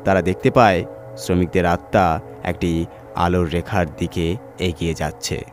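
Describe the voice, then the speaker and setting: Male horror narrator, lower register, scripted storytelling over background music. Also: fairly even intonation, normal speed.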